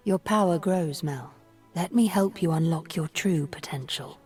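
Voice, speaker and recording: A woman playing a cold, scheming sorceress who leads a secret cabal in a video game, speaking with haughty, deliberate menace. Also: low voice, darkish tone, slightly rough, soft background music. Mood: calculating. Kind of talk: video game character voice lines.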